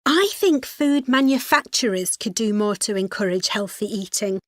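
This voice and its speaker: A woman's voice: medium pitch, expressive, normal speed, no echo, studio quality, lightly acted. A woman playing a female university student in a scripted educational dialogue. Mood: studious, matter-of-fact. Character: female university student discussing a joint presentation.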